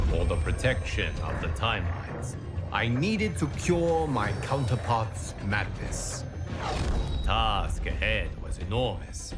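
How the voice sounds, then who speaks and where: Slow, solemn guardian god. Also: male voice, on the low side. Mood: solemn, regretful. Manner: measured, grave, formal diction. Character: solemn, wise male guardian god narrating grim tales. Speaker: narrator character in a fighting game. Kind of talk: solemn scripted game narration.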